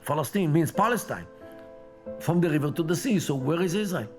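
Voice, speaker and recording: A man speaks with a rather high, very animated voice, stopping often to search for words. A strong music bed plays underneath him throughout, and his talk has the measured flow of an interview answer. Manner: hesitant, many pauses and fillers. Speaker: documentary interviewee. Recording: loud music bed behind the voice.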